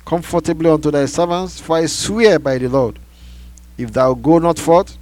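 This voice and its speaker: A man voicing a stern army commander in a scripture reading, scolding his king in loud, forceful declamation. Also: medium pitch, pitched above the performer's own voice, close to an everyday voice.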